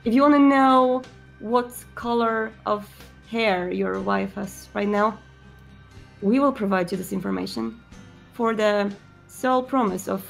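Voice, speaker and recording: A woman's voice: mid-range voice, creaky, faint music behind. Woman acting a composed, formal vampire prince. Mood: firm, persuasive. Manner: formal, measured, deliberate. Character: composed, persuasive male vampire prince offering a contract.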